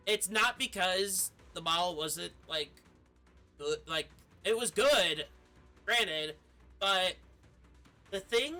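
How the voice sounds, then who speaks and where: A man's voice: sits high, pushed and bright. A man giving casual gameplay commentary as a streamer.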